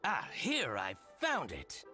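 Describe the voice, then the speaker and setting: An actor playing a scheming, jealous merchant who gloats and chuckles slyly, for a video game. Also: male voice, mid-range voice, wildly swooping pitch, slow, sharp tone, soft background music, exaggerated voice. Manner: theatrical, gloating, sly chuckles.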